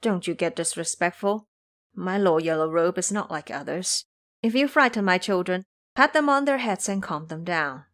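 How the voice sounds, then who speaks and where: A woman's voice: on the low side, lively intonation, normal speed, a bit bright. A woman voicing a proud, sorrowful captive princess in an audio drama, speaking in an earnest, formal and dramatic way. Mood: wary.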